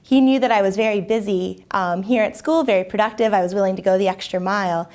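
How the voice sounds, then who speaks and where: A woman's voice: mid-range voice, steady tone, fast talker, darkish tone. Upbeat young American woman, MBA student. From United States. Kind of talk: testimonial interview.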